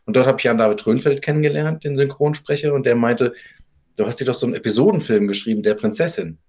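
A man's voice: mid-range voice, fast, a little mellow. Conversational man telling anecdotes. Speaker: interview guest, audio drama author. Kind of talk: conversational interview, anecdotal storytelling.

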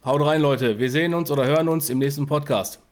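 A man's voice: on the high side, fairly even intonation, quick pace. A man, chatting casually as a podcast co-host and interviewer. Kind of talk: casual chat.

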